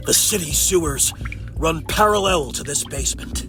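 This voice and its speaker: A man playing a stern, determined masked vigilante detective in a comic dub, speaking in a grim, measured, pointed way. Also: on the high side. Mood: calm, determined. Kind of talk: dramatic comic dub acting. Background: music.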